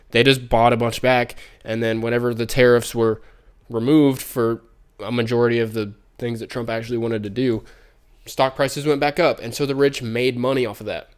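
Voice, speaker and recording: Brief: stuffed-up nasal guy, mid pitch, smooth clear tone, loose stream news rant. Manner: rambling, many ums and restarts. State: congested, nasal from a cold. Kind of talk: casual news commentary stream.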